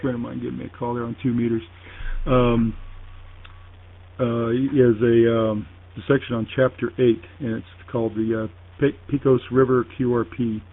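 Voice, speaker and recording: Tinny, monotone man with creaky voice. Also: mid-range voice. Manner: halting, deliberate, pausing often. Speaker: ham radio operator in a contact. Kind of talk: casual two-way radio chat. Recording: tinny phone-like internet radio link.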